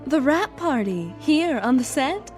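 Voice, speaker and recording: Vain, affected movie diva. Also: female voice, sits high, very animated, a little slow, a little mellow, faint music behind. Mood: coy, placating. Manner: affected, imperious, cooing endearments. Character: vain, coy, demanding glamorous movie star woman. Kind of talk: radio drama acting.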